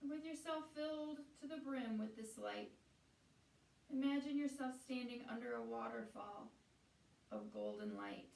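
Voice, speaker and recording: Higher-voiced woman leading meditation, echoey room. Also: sing-song, vocal fry, darkish tone. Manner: soothing, unhurried instruction with pauses. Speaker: meditation teacher. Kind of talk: guided meditation. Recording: roomy echo, distant from the mic.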